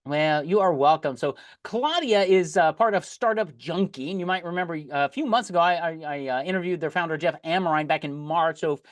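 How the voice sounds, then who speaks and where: Halting male podcast host. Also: a little high. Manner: halting, with many restarts and fillers. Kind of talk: interview podcast.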